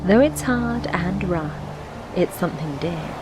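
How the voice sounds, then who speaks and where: A woman narrating a fantasy adventure game, reciting rhyming story verse in a measured, poetic way. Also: lowish voice, lively intonation, noisy background. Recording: music behind the voice. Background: background music.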